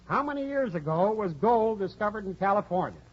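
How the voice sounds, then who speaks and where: High-voiced wisecracking man on old radio. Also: very animated, a little fast. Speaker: comic co-host of a radio quiz show. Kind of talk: 1940s radio quiz show banter. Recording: muffled old radio broadcast, faint noise.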